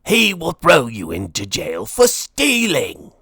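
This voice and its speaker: A man acts out a greedy, pompous lord from a storybook. His husky voice strains and swoops dramatically, with slow, demanding phrases. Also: medium pitch, wildly swooping pitch, no room sound, studio quality, exaggerated voice. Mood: angry, threatening. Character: greedy, blustering male lord, storybook villain.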